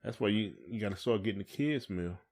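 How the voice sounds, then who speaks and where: A man's voice: fairly low pitch, brisk, darkish tone. A man with an American accent co-hosting a podcast, asking questions in casual, colloquial speech.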